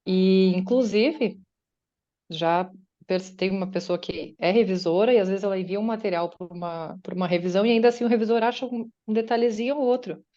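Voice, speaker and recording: Woman, guest speaker at an online faith meeting: chatty, voices her listeners' doubts, mellow tone, dull call sound. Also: mid-range voice, a little fast. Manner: conversational, acts out listeners' doubts in quotes. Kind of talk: faith-based motivational talk. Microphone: video call.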